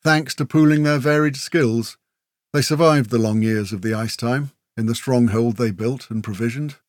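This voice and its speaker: A man's voice: medium pitch, moderate pace, dry recording, clean studio sound, lightly acted. A man as a breezy, matey folk warrior. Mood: storytelling. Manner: folksy, matey, talkative, with tag questions. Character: breezy, chatty male kinfolk warrior and teasing storyteller. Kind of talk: fantasy audiobook character dialogue.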